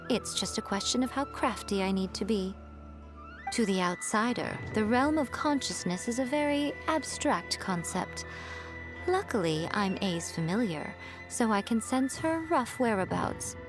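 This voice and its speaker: A woman's voice: medium pitch, close to an everyday voice. Sly, elegant fox-spirit woman, as acted over music. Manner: poised, lofty, teasing, deliberate phrasing. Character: sly, elegant female fox-spirit shrine familiar, teasing and composed. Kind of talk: video game voice acting. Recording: game music underneath. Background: game music.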